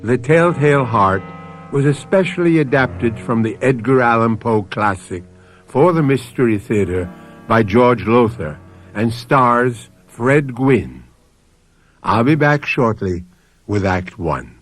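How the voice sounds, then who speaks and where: Male radio mystery host: deliberate scripted narration, medium pitch, very lively intonation, light music bed. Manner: deliberate, storytelling.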